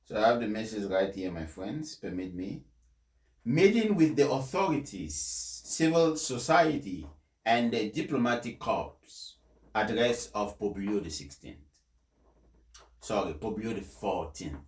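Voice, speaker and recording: A man's voice: on the low side, expressive, measured pace. Echoey man reading aloud. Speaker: presenter reading out a speech for followers. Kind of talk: formal read-aloud address with informal introduction. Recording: echoey, reverberant room.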